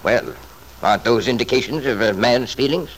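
A man's voice: medium pitch, moderate pace, natural voice, rough recording. An actor playing a selfish, scornful wealthy father in an old-time radio drama, blustering and sarcastic. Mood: defensive.

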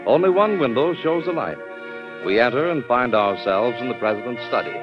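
A man announces an old radio drama with formal grandeur. His fairly high voice swings dramatically over clear music. The recording is dull and dated. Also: expressive, brisk. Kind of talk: 1940s-style dramatic radio narration.

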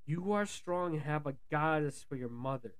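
A man voicing an aged counsellor who pleads earnestly and loudly for peace in a declamatory way. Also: on the high side, lively intonation, normal speed, clear, resonant tone, close to an everyday voice. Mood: urgent pleading. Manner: loud, declamatory, imploring.

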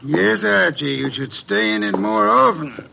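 An actor playing a shrewd, pedantic middle-aged private detective with a gravelly, precise and pompous delivery, in an old-time American radio drama. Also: male voice, mid-range voice, sing-song, measured pace, background noise, full character voice. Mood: dry, superior.

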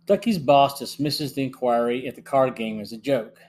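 A middle-aged man hosting a review podcast, reading scripted narration in a measured way. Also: medium pitch, darkish tone. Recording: faint hum and background noise.

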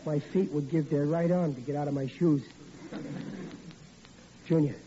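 A man's voice: a little high, steady tone, measured pace, noisy background, natural voice. A man playing a stammering, blustering dad. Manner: stammering, bluffing comic bluster. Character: blustering bumbling father Riley. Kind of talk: 1940s radio acting. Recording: dull lo-fi old radio recording.

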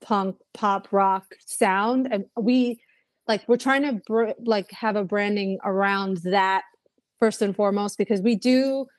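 A young woman talks casually as a guest musician, rambling through her thoughts with plenty of fillers and false starts. Her voice sits at a middle pitch. It is recorded close and dry, with no room sound. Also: measured pace, dry recording. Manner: chatty, rambling with many fillers and restarts. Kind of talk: casual interview chat.

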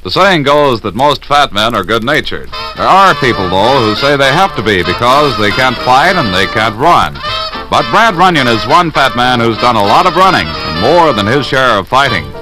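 A man's voice: mid-range voice, sing-song, moderate pace, low-quality recording. An American man reading a scripted promo in the style of a 1940s radio announcer. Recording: vintage radio broadcast with music. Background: music.

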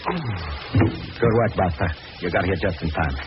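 Very noisy, muffled vintage radio audio carries a tough gambling-den crook. He has a low voice and talks in a curt, suspicious way, as in a 1940s crime drama. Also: lively intonation, slow pace. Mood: hard, conspiring.

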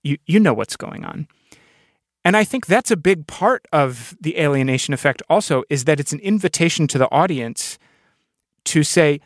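A man's voice: on the high side, no echo, studio quality. A man hosting a conversational interview, reflective and earnest as he builds his thoughts aloud. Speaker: podcast host, interviewer.

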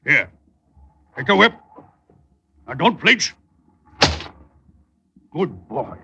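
An actor playing a stern ship's captain giving orders in an old-time radio drama. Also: male voice, fairly high pitch, sing-song, extremely slow, creaky, pushed and bright, slightly rough, highs cut off, full character voice. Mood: stern, commanding.

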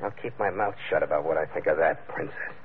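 An actor playing a terse, wry sea captain in a 1940s-style radio adventure, both narrating and speaking in scenes. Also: male voice, a bass voice, brisk, a little hiss or hum. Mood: sardonic, challenging. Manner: terse, sardonic, tough-guy delivery. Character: hard-boiled, wry sea captain telling his adventure. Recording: narrow vintage radio recording, music behind.

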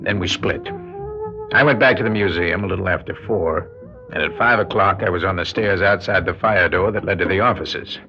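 A man's voice: deep voice, fairly quick, pushed and bright, soft background music. An actor playing a wary, world-weary con man in a radio drama, with a wry, street-wise way of talking. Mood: tense narration. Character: wary, world-weary male con man narrating his own crime. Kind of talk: classic radio drama acting with first-person narration. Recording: dull lo-fi radio drama recording.

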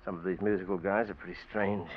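A man's voice: sits low, fairly even intonation, fast, lightly acted. A man playing a dry, laconic police lieutenant. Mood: matter-of-fact. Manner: dry, casual, matter-of-fact. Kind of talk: 1940s radio acting. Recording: muffled old radio broadcast, faint hiss.

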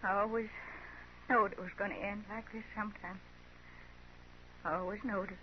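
A woman playing a weary, resigned, plain-spoken frontier woman in a 1950s radio western, rustic and halting. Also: mid-range voice, fairly even intonation, lightly acted. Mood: sad, resigned. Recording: muffled, hissy 1950s radio recording.

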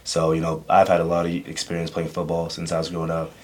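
A man's voice: sits low, completely flat delivery, fast talker. A young man and freshman football player answering earnestly, often saying "you know.". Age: young adult. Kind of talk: casual interview answers.

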